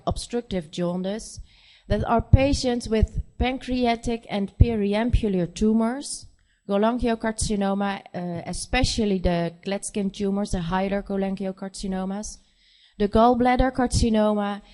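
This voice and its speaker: A woman with a Dutch accent, a nurse practitioner giving a conference presentation in English, her second language, speaking haltingly with many fillers. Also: medium pitch, darkish tone. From Netherlands. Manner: hesitant, halting speech with frequent fillers.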